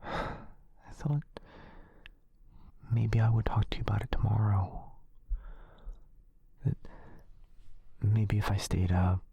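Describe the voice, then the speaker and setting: A man playing a gentle, protective and frightened wizard in a boyfriend ASMR roleplay, speaking softly and haltingly, often in a whisper. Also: on the low side, little pitch movement, dry recording, muffled recording, exaggerated voice. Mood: apologetic, regretful. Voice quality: soft, breathy, often whispering. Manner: tender, halting, apologetic.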